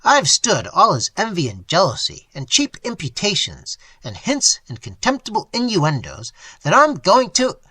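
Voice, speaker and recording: A man voicing an excitable, nearsighted little male scientist who shouts angrily. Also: moderate pace, dry recording, full character voice. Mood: furious. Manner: shrill, angry, shouting.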